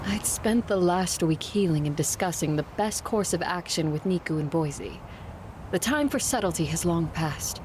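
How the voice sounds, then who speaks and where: A woman playing a grave, hardened vampire commander narrating her own story in a measured, solemn audio drama voice. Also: on the low side, expressive, darkish tone, a little hiss or hum, close to an everyday voice. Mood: grave, resolute.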